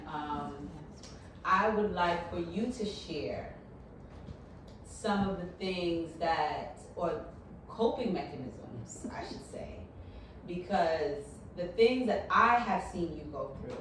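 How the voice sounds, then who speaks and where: Low-voiced woman moderating in an echoey hall. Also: lively intonation, measured pace, fairly bright tone, slight background noise. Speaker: panel moderator. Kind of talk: panel discussion. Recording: echoey room, mic from a distance.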